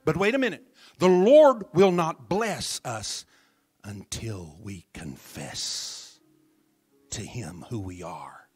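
Slow, dramatic preaching minister. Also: male voice, medium pitch, lively intonation, darkish tone, slightly rough, soft background music. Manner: dramatic, drops to near whispers for emphasis; calls out to the congregation. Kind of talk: sermon. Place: church service.

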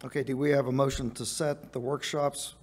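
A man presiding over a city council meeting, calling for motions and confirming dates in a brisk, procedural way. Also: mid-range voice, level tone, darkish tone, slightly rough. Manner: brisk, procedural, checks agreement. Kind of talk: chairing a public meeting. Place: council chamber.

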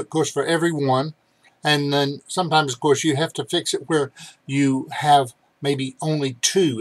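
A man's voice: mid-range voice, no echo. An older man speaks as a vlog host, explaining things casually to the camera. The sound is dry, with little room around his voice. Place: cluttered indoor room.

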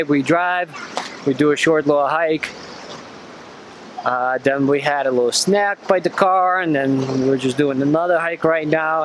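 A man's voice: a little high, background noise. Man vlogging on a forest trail. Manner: enthusiastic, conversational. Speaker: family travel vlogger. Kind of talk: casual vlog narration. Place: outdoors on a forest trail and in a river. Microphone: handheld action camera at arm's length. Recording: background music.

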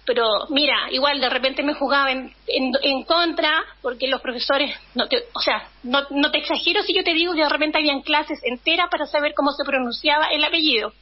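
Woman with a high voice on a dull line. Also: a little fast, few pauses. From Chile. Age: 50s. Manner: animated storytelling, acts out her younger self. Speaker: guest. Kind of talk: casual interview conversation.

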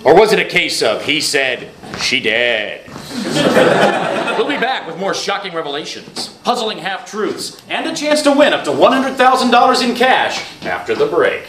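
Melodramatic male crime anchor, high voice, portentous pauses, comedy sketch, noisy room recording. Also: big pitch swings. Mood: mock-grave, insistent. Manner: overdramatic, portentous, dramatic pauses. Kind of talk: live sketch comedy parody of TV news. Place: small theatre stage before an audience. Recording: noisy, echoey live theatre recording.

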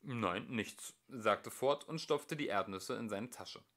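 A man narrating an amateur home-recorded audiobook in an expressive, lively way, with the odd small slip. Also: lowish voice, unhurried. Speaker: audiobook reader.

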